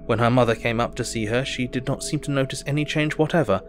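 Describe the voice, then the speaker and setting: An actor playing an earnest, weary Victorian doctor, narrating his diary in a measured, formal, reflective way. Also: mid-range voice, soft background music, close to an everyday voice.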